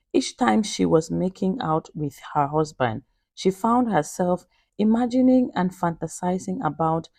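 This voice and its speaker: A woman narrates a scripted story in the role of storyteller. Her delivery is measured and dramatic, with a noticeably creaky edge to the voice. The sound is dry and close, with very little room in it. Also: lowish voice, a little mellow, dry recording.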